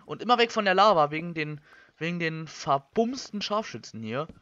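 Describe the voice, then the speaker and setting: A young man with a fairly high voice, recorded a little dull and lo-fi. He comments casually on his gameplay as a Let's Play host. His talk is colloquial, and he thinks out loud as he goes. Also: lively intonation, normal speed, dry recording. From Germany. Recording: slightly dull, lo-fi microphone.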